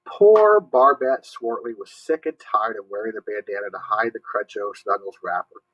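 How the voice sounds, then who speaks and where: A man reading a story aloud to young listeners, pausing to explain and ask questions. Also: fairly low pitch, fairly bright tone, dull audio. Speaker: storybook reader, teacher.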